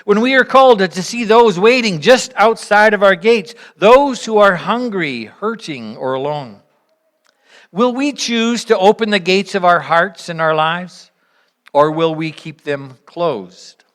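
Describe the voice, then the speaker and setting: A woman speaking earnestly and in measured phrases as she leads a church service, pausing and posing reflective questions to the congregation. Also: medium pitch, expressive. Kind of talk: sermon, reflecting on a parable and addressing the congregation.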